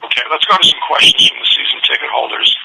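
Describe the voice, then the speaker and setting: A man with a gravelly, raspy voice hosting a conference call and relaying questions conversationally in halting phrases. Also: medium pitch, animated, background noise. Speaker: host moderating a conference call. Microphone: telephone conference call.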